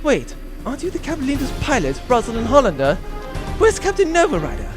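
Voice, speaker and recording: A man's voice: extremely high pitch, huge pitch swings, fast, faint music behind, voice pushed up, full character voice. A man voicing a self-pitying, pompous bounty hunter villain in a comic audio drama, full of aggrieved, long-winded speech. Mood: aggrieved, blustering.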